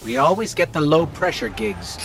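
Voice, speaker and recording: A man's voice: sits high, normal speed, gravelly. A man playing a small, chatty robot companion in a video game, sarcastic and quippy. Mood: wry, curious. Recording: gameplay noise and gunfire underneath.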